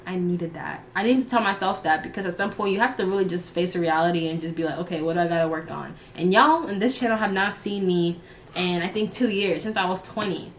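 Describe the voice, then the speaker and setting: A woman's voice: on the low side, fairly quick, slight background noise. A woman in her early twenties chatting casually and unscripted to her followers, candid and slangy, rambling as she goes. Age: early 20s. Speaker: YouTuber and live streamer.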